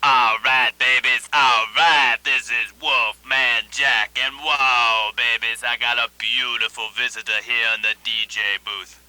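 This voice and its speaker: Radio satire: hip swaggering DJ, hard forceful tone, unhurried patter, slight music underneath. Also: male voice, mid-range voice, fairly even intonation, no room sound, poor audio. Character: impression of a growling, hip radio disc jockey.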